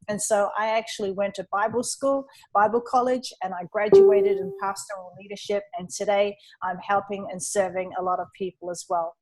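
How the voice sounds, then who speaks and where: A woman's voice: mid-range voice, low-quality recording. A woman from New Zealand, her accent now partly Australian, talks through her story in a webinar. Her delivery is upbeat and earnest, like a testimonial. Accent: New Zealand, leaning Australian. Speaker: online entrepreneur and webinar co-host.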